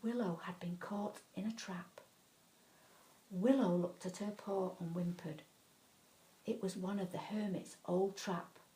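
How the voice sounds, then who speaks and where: Female storyteller for children's bedtime tales, mid-pitched, expressive and dramatic with clear emphasis. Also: low-quality recording.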